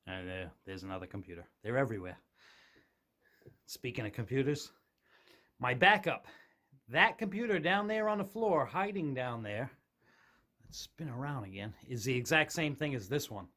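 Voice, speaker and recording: A man's voice: medium pitch, lively intonation, normal speed, long pauses, bright, forceful tone. A middle-aged man narrating a casual, unscripted tour in a plainspoken, explanatory way. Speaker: hobbyist video host. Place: home room with radio gear, indoors.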